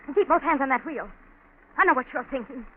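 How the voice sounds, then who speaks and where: A woman's voice: sits high, expressive, fast. An actress playing an intense, unbalanced young woman who gives orders in a 1940s radio drama. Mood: commanding. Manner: intense, insistent, commanding. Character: desperate, unbalanced young woman, domineering and armed. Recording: scratchy, narrow-band 1940s radio recording.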